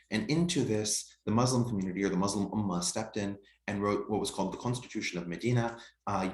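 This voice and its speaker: A man giving an online history lecture, explaining in a measured way to his class. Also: on the low side, echoey room.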